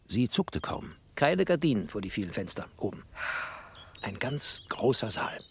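An actor playing a bookish, sardonic refugee who narrates in a literary, poetic and opinionated way, as in a radio drama. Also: male voice, fairly low pitch, sing-song, unhurried, a little hiss or hum. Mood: quiet, poetic. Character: bookish, sardonic male first-person narrator, a postwar refugee.

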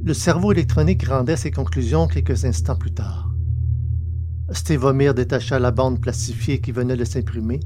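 A man's voice: mid-range voice, fairly even intonation, normal speed. A man reading scripted narration for a science-fiction audio story. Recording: clear background noise and ambience.

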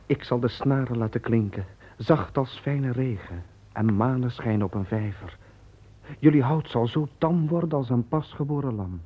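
An actor in a radio play playing a lofty, touchy court musician with a precious, poetic delivery. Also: male voice, mid-range voice, normal speed, slightly rough, close to an everyday voice. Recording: dull old radio recording.

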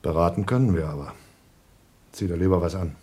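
A man's voice: deep voice, a little fast, warm tone, close to an everyday voice. A man playing a composed, rule-abiding astronaut on a moon station, resigned and matter-of-fact, in a radio play. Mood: calm, firm.

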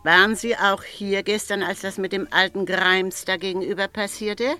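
Radio drama role: actress as a nosy, insinuating female news vendor with an average-pitched voice. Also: fairly even intonation, normal speed, pushed and bright, clean tone, soft background music, lightly acted. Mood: gossipy. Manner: chatty, knowing, insinuating. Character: gossipy female newspaper seller, uneasy busybody. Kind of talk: German radio drama acting.

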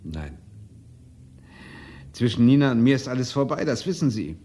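An actor in a German crime radio drama playing an uneasy, blackmailed doctor, guarded, terse and hesitant. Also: male voice, mid-range voice, big pitch swings, moderate pace, dark tone, natural voice.

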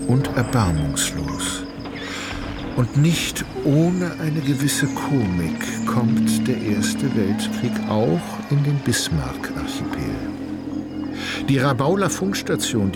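A male narrator tells a literary story in a radio play. His mid-range voice is measured and precise, with a touch of irony. Loud music runs behind him. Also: medium pitch.

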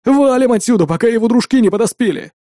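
Big hot-headed biker boss, played by a male narrator: raised pitch, growling protests, audiobook villain. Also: animated, quick pace, dark tone, no room sound, studio quality. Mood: panicked.

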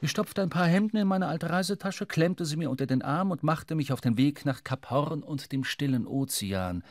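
A man's voice: on the high side, expressive, moderate pace, dry recording. A man playing a wry, brooding seafarer who narrates his own adventure in a literary radio drama. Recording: clear music behind the voice.

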